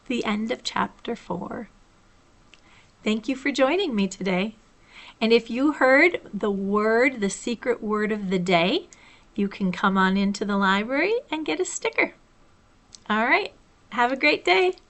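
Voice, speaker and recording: A woman reading a children's story aloud as a library storytime host, with expressive, deliberate phrasing. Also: fairly high pitch.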